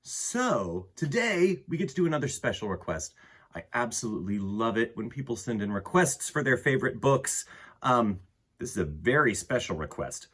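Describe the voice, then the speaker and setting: A man with a husky voice reading a picture book aloud to children in an expressive way, with dramatic pauses and emphasis. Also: medium pitch. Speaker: children's storytime host and reader.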